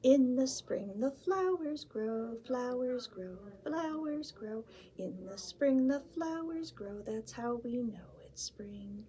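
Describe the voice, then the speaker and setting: A woman voicing a calm grown-up mouse who explains things in a soft, half-whispered, sing-song way. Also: fairly high pitch, slow, creaky, a crowd in the background, exaggerated voice. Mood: patient.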